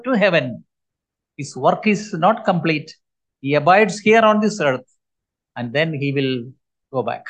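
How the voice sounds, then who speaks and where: A man in his seventies preaching a sermon, his voice sitting high for a man. He speaks earnestly and with emphasis, pausing often between phrases. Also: a bit bright, low-quality recording. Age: 70s.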